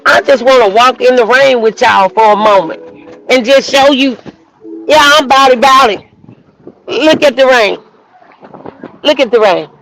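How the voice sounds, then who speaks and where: A woman minister hosting a livestream, preaching outdoors in the rain with repetition and rising shouts. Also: a little high, poor audio. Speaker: minister and show host. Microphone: phone livestream.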